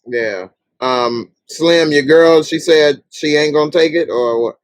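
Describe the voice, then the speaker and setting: A man's voice: fairly high pitch, a little slow, no echo. A middle-aged man hosting a live panel, chatting casually and informally with a joking way of talking. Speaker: host of a live panel show. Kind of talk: casual group chat. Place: online group call.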